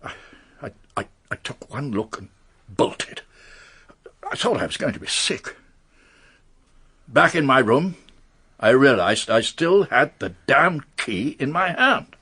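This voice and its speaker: Casting: nervous upper-class English knight, radio drama, husky mid-range voice, hesitant, lively intonation. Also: male voice, mid-range voice, takes it slowly, long pauses, exaggerated voice. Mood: shaken, hesitant. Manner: hesitant, stammering, polite. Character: anxious titled English gentleman confessing under questioning. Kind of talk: British radio detective drama acting.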